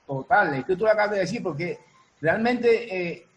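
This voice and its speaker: A woman's voice: mid-range voice, animated, normal speed. A woman in a casual panel discussion, speaking as a dance teacher and repeating phrases for emphasis. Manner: emphatic, repeats phrases, checks listeners follow. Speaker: dance teacher and theater artist. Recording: noisy room sound with ambience.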